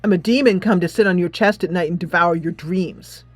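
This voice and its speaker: A woman's voice: lowish voice, a little fast, no echo, lightly acted. A woman voicing a hostile teenage demon girl in a video game, biting and theatrical in her threats. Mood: menacing. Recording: music playing behind.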